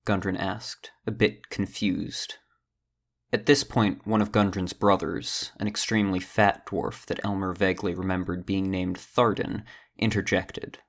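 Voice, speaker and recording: A male narrator with a low, gravelly voice tells a fantasy tale in a steady storytelling manner. His deep tone carries a rough edge throughout. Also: unhurried. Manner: steady storytelling, does all character voices. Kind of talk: audio drama narration.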